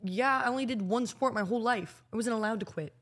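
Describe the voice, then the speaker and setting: A woman's voice: fairly high pitch, fast talker, a bit bright. A woman chatting casually and informally, with slangy, teasing remarks. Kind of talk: casual chat.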